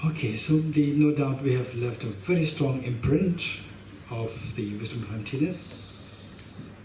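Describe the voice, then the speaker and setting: A man's voice: mid-range voice, unhurried, mellow. Tibetan-accented man teaching in a roomy hall. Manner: emphatic, repeats and restarts phrases, gestures while talking. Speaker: Buddhist teacher. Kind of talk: teaching a class. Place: meditation hall with shrine, indoors. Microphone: stand mic in front of him. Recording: roomy hall sound, mic a bit distant, faint hiss.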